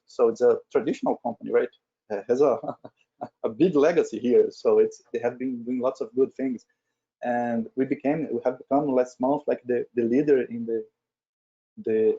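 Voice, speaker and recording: A man's voice: mid-range voice, dark tone, breathy edge. A middle-aged man from Brazil with a Brazilian accent, a native Portuguese speaker, presenting informally at a webinar and often checking in with "right?". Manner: conversational, frequently tags statements with "right?". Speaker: webinar speaker, agile leader at a retail company. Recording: dull lo-fi webinar audio.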